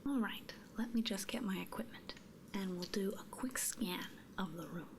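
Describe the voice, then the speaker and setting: A woman performing an ASMR roleplay in a soft, breathy whisper, gently inquisitive and murmuring, close to the microphone. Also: mid-range voice, moderate pace, noisy background. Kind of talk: whispered ASMR roleplay as a ghost removal technician.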